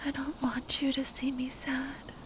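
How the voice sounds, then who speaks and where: A woman playing a tender, grieving spellcaster lover in an ASMR roleplay, speaking in a breathy, halting whisper. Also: high voice, completely flat delivery, background noise. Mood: tender, sad. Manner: halting, tender, sighing delivery.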